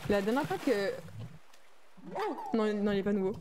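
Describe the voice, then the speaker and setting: A woman's voice: medium pitch, sing-song, normal speed, darkish tone. A young adult woman streaming a game, chatting casually and reading and answering her viewers' messages aloud. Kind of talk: casual livestream chat while playing a game.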